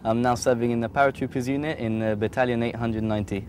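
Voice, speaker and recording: A man's voice: medium pitch, fast talker, a little hiss or hum. Young man, easygoing. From London, England. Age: young adult. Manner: relaxed, frequent "uh" fillers. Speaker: paratrooper soldier. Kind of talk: personal interview testimony. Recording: music playing underneath.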